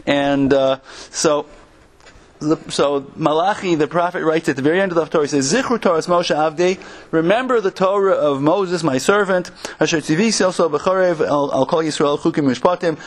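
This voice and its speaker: Higher-voiced American man, halting religious talk. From Connecticut, United States. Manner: conversational, halting, with humorous asides. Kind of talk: informal religious lecture with anecdotes.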